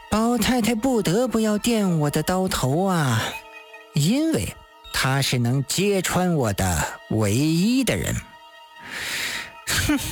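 A storyteller voicing a sly, mocking old Taoist master in an audiobook, gloating at a leisurely pace with drawn-out interjections. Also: mid-range voice.

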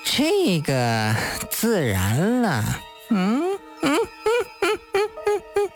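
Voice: mid-range voice, big pitch swings, soft background music. Smug, leisurely old Taoist villain. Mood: sly amusement. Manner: leisurely, gloating, chuckling, drawn-out interjections.

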